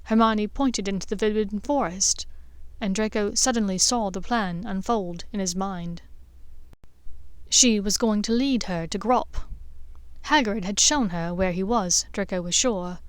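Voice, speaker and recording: Woman reading fiction audiobook style, mid pitched voice, close dry mic. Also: a little slow, clear, resonant tone, no room sound. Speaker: audiobook narrator of fan fiction. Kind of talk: scripted story narration.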